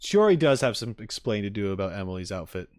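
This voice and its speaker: A man's voice: lowish voice, very animated, quick pace. A man casually chatting and reacting on a livestream, with easygoing asides muttered to his viewers. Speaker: streamer reacting to game videos.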